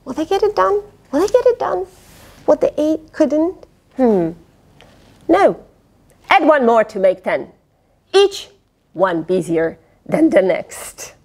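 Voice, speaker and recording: A middle-aged woman reads a children's story aloud in a fairly high voice. She takes her time, swinging widely in pitch and speaking expressively to the young listener. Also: slow pace, pauses often. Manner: expressive, dramatic, with gasps, sighs and questions to the listener. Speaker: storyteller reading a picture book aloud. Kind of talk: children's read-aloud with playful asides. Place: indoors, small fabric tent with cushions. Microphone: lapel.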